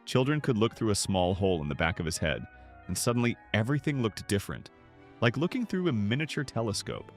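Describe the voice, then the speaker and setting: A man's voice: fairly low pitch, sing-song, quick pace, soft background music. A man reading scripted nostalgic documentary narration.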